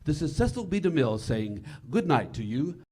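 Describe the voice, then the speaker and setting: A man's voice: a little high, very animated, moderate pace, dark tone, a little hiss or hum. A man playing a polished, gracious 1940s radio host giving a formal scripted sign-off.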